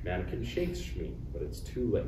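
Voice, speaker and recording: A man's voice: low-pitched, big pitch swings, moderate pace. A man reading stage directions in scripted narration at a table read. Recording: roomy, distant, clearly noisy recording.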